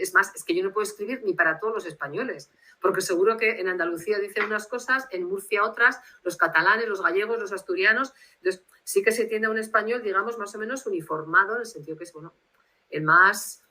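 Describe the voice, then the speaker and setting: A woman's voice: mid-range voice, pushed and bright, low-quality recording. A woman from Bilbao with a Basque Spanish accent, speaking as a guest translator on a video call in a reflective, rambling way. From Spain, Bilbao.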